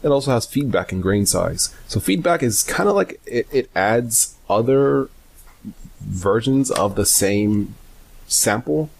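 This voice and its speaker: A man giving a casual software tutorial, hesitant and informal as he thinks aloud. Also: mid-range voice, lively intonation, measured pace, creaky, slightly rough. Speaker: tutorial host.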